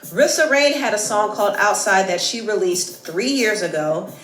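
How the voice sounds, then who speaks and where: A woman's voice: medium pitch, lively intonation, moderate pace, a bit bright, echoey room. A woman gossip host giving scripted, emphatic celebrity news commentary with dramatic reveals.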